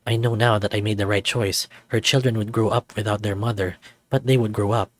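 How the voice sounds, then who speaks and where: A man playing a caring young firefighter in a visual novel, speaking in an earnest, gentle, reflective way. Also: fairly low pitch, fairly even intonation, fairly quick, no room sound, close to an everyday voice. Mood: somber, reflective. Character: caring young male firefighter, steady and sincere.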